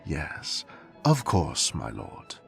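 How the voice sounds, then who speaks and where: A man's voice: very deep voice, huge pitch swings, extremely slow, gravelly, faint music behind, full character voice. A man playing an elegant, dryly witty fae butler in an audio drama, speaking with formal, courtly politeness. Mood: dryly polite. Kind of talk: scripted audio roleplay acting.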